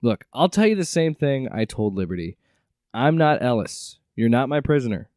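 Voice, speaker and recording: Man playing a wry, animated agency director. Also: medium pitch, moderate pace, no echo, clean studio sound, lightly acted. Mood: weary, wry. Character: weary, gruff, sarcastic male agency director. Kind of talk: audio drama acting.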